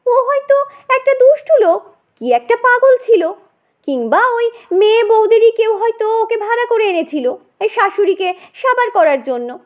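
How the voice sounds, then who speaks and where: A woman's voice: extremely high pitch, lively intonation, moderate pace, voice pushed up. A woman voicing an outspoken, sceptical woman in a story, with a dismissive, challenging manner. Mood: scornful.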